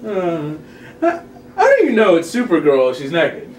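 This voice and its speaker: Man playing an animated comic-book geek, echoey room. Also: fairly high pitch, moderate pace. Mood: gleeful, teasing. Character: excitable, teasing comic-book geek, male friend. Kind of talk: table read of a comedy script. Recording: echoey room, distant mic, faint noise.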